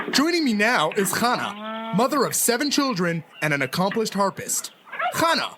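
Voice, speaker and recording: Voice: mid-range voice, background noise, natural voice. An actor playing a self-important, stammering field reporter in an old-time radio style news parody. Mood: composed, announcing. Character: flustered on-the-scene reporter in ancient village, comically self-important. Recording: loud farm animal and battle ambience.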